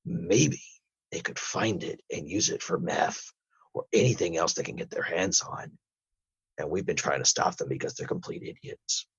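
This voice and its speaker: Gruff whale interrogator male actor, husky and deliberate, stern, improvised audio drama, dull close sound. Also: expressive, full character voice. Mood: stern, matter-of-fact. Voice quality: husky, low. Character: gruff whale interrogator hunting crab drug smugglers.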